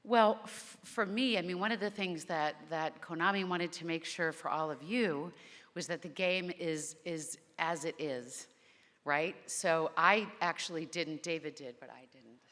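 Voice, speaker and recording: A woman voice actor on a convention panel, talking in a rambling, heartfelt way with many restarts and a naturally low voice. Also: measured pace, a bit bright, long reverb tail.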